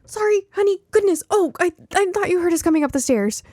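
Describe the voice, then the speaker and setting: A woman voicing a cheerful, caring mother in an audiobook story, gently teasing and reassuring. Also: extremely high pitch, expressive, quick pace, no echo, clean studio sound, voice pushed up. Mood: startled, apologetic. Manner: chuckling, reassuring, coaxing.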